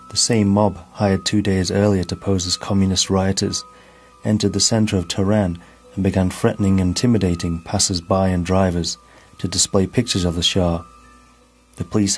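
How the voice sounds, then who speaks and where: A man narrating a scripted documentary in a measured, formal manner. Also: low-pitched. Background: background music.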